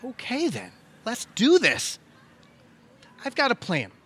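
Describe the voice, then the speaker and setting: A man playing an earnest, hesitant, credulous brother in a comedic audio play, tentative but easily excited. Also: very high voice, slow, slightly rough, full character voice. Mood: eager, anxious. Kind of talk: comedic audio theatre acting.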